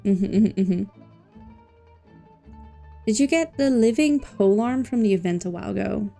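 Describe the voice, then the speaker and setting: A woman livestreaming a game, chatting casually and playfully with expressive exclamations and silly filler words, game music behind her. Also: mid-range voice, a little slow, creaky. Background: faint video game music.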